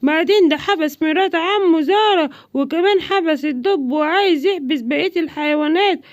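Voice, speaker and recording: An Egyptian woman voicing a panicky, chattering parrot who shouts warnings to the other animals in a cartoon. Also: very high voice, fairly quick, no room sound, highs cut off. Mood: urgent.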